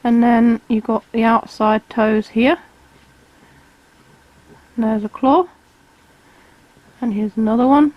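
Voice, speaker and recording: A woman, calmly explaining each step of a drawing tutorial while she sketches. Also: a little high, takes it slowly, pauses often, dry recording, highs cut off. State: has a cold, slightly hoarse. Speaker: art tutor.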